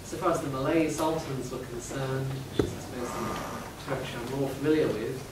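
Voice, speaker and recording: A man's voice: medium pitch, a little fast. A man on an academic panel speaking hesitantly, with many fillers and restarts. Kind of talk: academic round-table discussion. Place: seminar room. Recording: echoey hall, distant mic, background noise.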